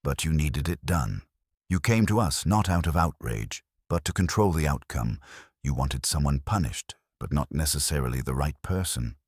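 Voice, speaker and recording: Guy doing a stern genius detective for an audiobook, super deep gravel-rough voice, formal, cuts right to it. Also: animated, normal speed, no room sound, clean studio sound, exaggerated voice. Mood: cold, incisive. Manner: formal, accusatory, incisive. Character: commanding, coldly logical male detective confronting suspects. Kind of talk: audiobook detective story dialogue.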